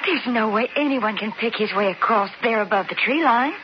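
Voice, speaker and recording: An actress playing a sheltered young rancher's daughter in love, earnest, anxious and questioning, in a radio drama. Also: female voice, a little high, moderate pace, lightly acted. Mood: anxious, resolute. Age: young adult. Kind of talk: old-time radio drama acting. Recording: muffled, noisy old radio recording.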